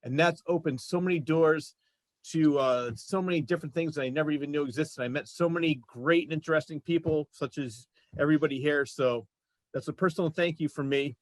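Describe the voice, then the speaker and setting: A man's voice: a little high, fairly quick, dry recording. A man hosting a show, chatting casually as he interviews his guests. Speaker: show host.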